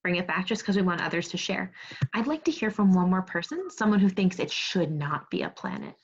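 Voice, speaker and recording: A woman teaching second grade over a video call, reading aloud, giving clear instructions and prompting pupils with questions. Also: fairly low pitch, animated, brisk. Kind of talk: interactive read-aloud lesson on video call. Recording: dull, muffled video call audio.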